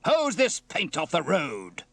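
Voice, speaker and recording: A man's voice: high voice, sing-song, normal speed, a bit bright, dry recording, pitched above the performer's own voice, heavily acted. A man voicing a stern, pompous fire station officer in a children's story, with a commanding bark. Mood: angry. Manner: barking, commanding.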